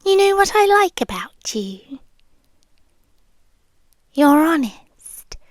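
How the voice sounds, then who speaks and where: A British woman playing a tender, possessive vampire girlfriend in hushed, coaxing ASMR roleplay, often whispering close to the microphone. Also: sits high, sing-song, no room sound, full character voice. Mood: tender, intimate. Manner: slow, hushed, lingering, coaxing with soft pauses. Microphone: close microphone, dry.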